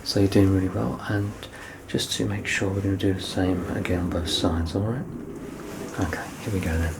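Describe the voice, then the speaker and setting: Soft-spoken deep, creaky man, ASMR doctor. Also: little pitch movement, normal speed. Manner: gentle, reassuring, self-deprecating, often near a whisper. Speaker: ASMR roleplayer playing a doctor. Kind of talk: soft-spoken ASMR medical exam roleplay. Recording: clear background noise and ambience.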